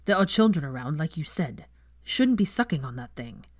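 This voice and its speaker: Haughty young wizard done by a female narrator, curt, defensive, irritable, lowish pitch, dry storybook sound. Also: very animated, fairly quick, no room sound. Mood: unsettled, defensive. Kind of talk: acted audiobook dialogue.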